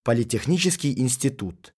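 A man reading out translated phrases one at a time for a language lesson, in a careful, measured way. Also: medium pitch, lively intonation, darkish tone, husky. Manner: gives each phrase as a careful spoken translation. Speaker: translation reader.

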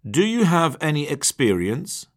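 A man reading English phrases one by one, slowly and distinctly, for a language lesson. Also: on the high side, sing-song. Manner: reads each word or phrase slowly and distinctly. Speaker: phrase reader.

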